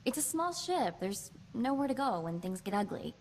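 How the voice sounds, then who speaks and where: A woman voicing a quick-witted, sardonic young female hacker in a space video game, chatty in manner. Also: fairly high pitch, very animated, a little hiss or hum. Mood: reluctant.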